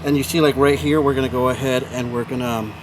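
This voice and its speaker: A man's voice: mid-range voice, fairly even intonation, fast. A man hosting a casual hands-on DIY vlog outdoors, talking to viewers in an informal, slangy, repetitive way. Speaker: host of a DIY car audio video channel. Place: backyard, outdoors. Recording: noisy outdoor recording, vehicle and ambient sounds.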